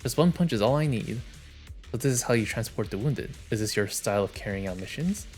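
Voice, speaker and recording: A man's voice: medium pitch, lively intonation, brisk, a little mellow, soft background music. A man who streams games, reading story narration and dialogue aloud on a livestream.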